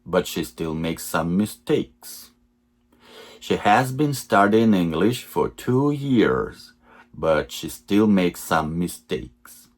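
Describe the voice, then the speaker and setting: A man teaching English, reading simple example sentences slowly and repeating each one for learners. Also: fairly low pitch. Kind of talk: slow lesson reading example sentences twice.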